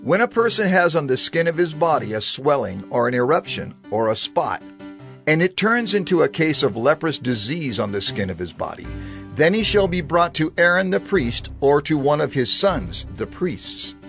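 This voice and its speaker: A man's voice: on the high side, sing-song, normal speed, creaky, a bit bright. Solemn man reading scripture over music. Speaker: Bible narrator.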